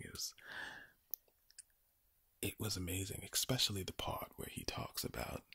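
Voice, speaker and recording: A man with an Irish accent giving soothing ASMR affirmations in a low, breathy, gravelly whisper, slowly and deliberately, repeating his phrases. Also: sharp tone, no room sound. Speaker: ASMR creator.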